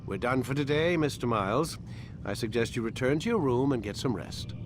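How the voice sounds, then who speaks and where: An actor playing a stern, impatient research scientist with a coldly authoritative manner in a video game cutscene. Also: male voice, medium pitch, expressive, a little fast, background noise, lightly acted. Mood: curt, dismissive. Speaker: scientist running experiments on a test subject. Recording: music loud behind the voice.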